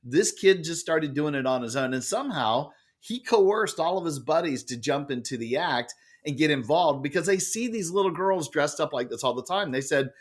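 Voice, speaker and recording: A middle-aged man with a loud voice, an author and speaker telling a story as a podcast guest, animated and expressive. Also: moderate pace, hardly any pauses. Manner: expressive, acts out quoted lines with big jumps in pitch.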